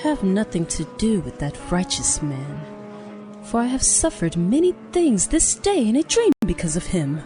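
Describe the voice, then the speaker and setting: A woman plays a governor's anxious wife in a Bible drama. She pleads urgently with very lively intonation, over music. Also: medium pitch, moderate pace, lightly acted. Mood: anxious pleading.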